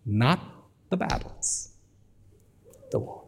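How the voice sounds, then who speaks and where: A man's voice: takes it slowly, a little mellow, a little hiss or hum. A man giving a reflective talk to an audience, repeating phrases for emphasis and dropping at times to a near whisper. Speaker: speaker.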